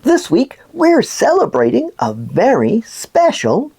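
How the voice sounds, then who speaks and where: A woman voicing an excitable, playful puppet news presenter on a children's show, with a theatrical, expressive delivery. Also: fairly high pitch, slow, husky, exaggerated voice. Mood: upbeat, explanatory.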